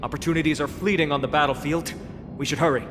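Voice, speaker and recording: An actor playing a noble, grave young warrior leader in a video game, speaking formally and with command. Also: male voice, on the high side, steady tone, fast talker, natural voice. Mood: resolute. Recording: long reverb, music behind.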